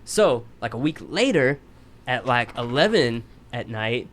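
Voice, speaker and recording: A man's voice: medium pitch, big pitch swings, a little slow, a bit bright. A man telling a long, rambling story on a podcast, casual and profane, poking fun at himself. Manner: rambling, profane, self-mocking.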